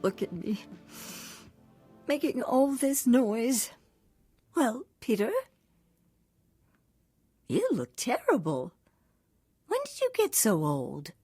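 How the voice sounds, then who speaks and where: A woman's voice: on the high side, big pitch swings, takes it slowly, long pauses, dark tone, slightly rough, no room sound, faint music behind, full character voice. An actress playing an eccentric, sentimental middle-aged aristocratic woman in a visual novel, with a dreamy, theatrical manner. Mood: rueful, fond.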